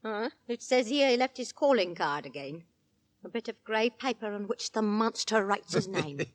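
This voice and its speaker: An actress playing a fretful, gossipy middle-aged Victorian London housewife in a dramatic 1940s radio style. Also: female voice, medium pitch, lively intonation, normal speed, close to an everyday voice. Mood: intrigued. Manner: dramatic, fretful, reading news aloud.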